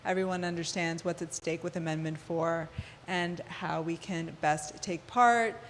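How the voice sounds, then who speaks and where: A woman hosting a community radio interview, speaking warmly and with enthusiasm, with some "um"s. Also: on the low side, fairly even intonation, unhurried, long reverb tail, a little hiss or hum. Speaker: host.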